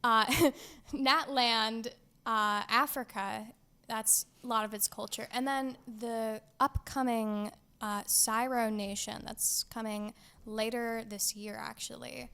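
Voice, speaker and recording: A young woman co-hosting a casual gaming podcast, excitable and giggly, with lots of fillers. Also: a little high, slow pace, gravelly, smooth. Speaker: podcast co-host.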